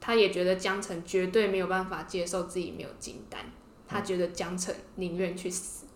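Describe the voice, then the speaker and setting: A woman's voice: on the low side, flat delivery, fairly quick, a little hiss or hum. A woman with a Taiwanese Mandarin accent hosting a podcast, telling and explaining a story in a casual way. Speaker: podcast host. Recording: roomy, echoing podcast room.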